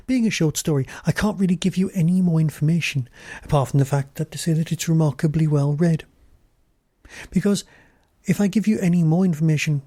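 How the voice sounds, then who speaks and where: A man's voice: on the high side, warm tone, dry recording, clean studio sound. A man presenting a podcast review as host, in scripted commentary style.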